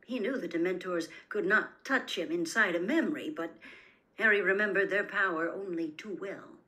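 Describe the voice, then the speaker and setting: A woman's voice: lowish voice, normal speed, sharp tone. A woman reading a story aloud as scripted audiobook-style narration.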